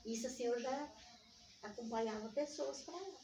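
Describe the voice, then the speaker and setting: An older woman with a Minas Gerais accent recounts her life in conversation, slipping into quoted dialogue with animation. Her voice sits a little high. The sound is dull and roomy, picked up at some distance from the microphone. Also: moderate pace. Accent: Brazilian Portuguese from Minas Gerais. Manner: animated, re-enacts remembered dialogue. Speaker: interviewee, community organizer. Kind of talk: personal storytelling in conversation. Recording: echoey room, distant mic, dull, faint noise.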